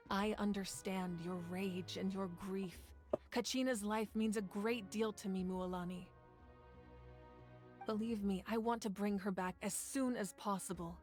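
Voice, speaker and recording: A woman plays a commanding, patient leader in a video game, with a medium-pitched voice. She speaks deliberately and formally, resolute and composed, over music. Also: a little mellow, close to an everyday voice. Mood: calm, grave. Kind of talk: English video game voice acting. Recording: music under the voice.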